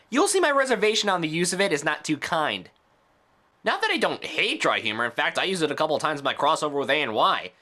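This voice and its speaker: A man's voice: on the high side, pushed and bright. A man delivering scripted review commentary with opinionated, sarcastic asides. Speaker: video reviewer.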